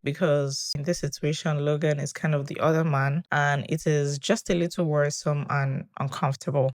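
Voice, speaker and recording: Conversational, reflective video commentator. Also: medium pitch. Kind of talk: casual TV drama commentary.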